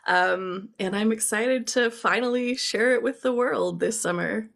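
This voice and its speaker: A woman author casually introducing her own work, chatty and a little halting as she goes. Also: fairly high pitch, vocal fry, a bit bright.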